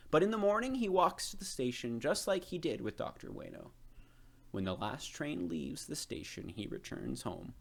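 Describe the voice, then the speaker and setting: A man voicing a gentle, thoughtful, stooped old gardener in a read-aloud storybook. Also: on the high side, big pitch swings, creaky, pitched above the performer's own voice, exaggerated voice. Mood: friendly. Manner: slow, thoughtful delivery.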